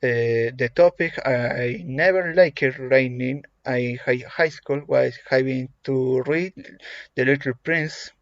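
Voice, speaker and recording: A man from Chile with a Chilean Spanish accent, a native Spanish speaker reading a prepared self-introduction in English, halting, with frequent fillers and restarts. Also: medium pitch, no room sound. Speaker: English language student. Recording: dull lo-fi recording.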